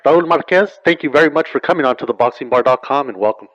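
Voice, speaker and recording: A male interview host talks quickly through rambling questions. His voice sits at a middle pitch. It comes across dry and slightly muffled, with no room sound. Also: a bit bright, no room sound. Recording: dull, dry low-fidelity sound.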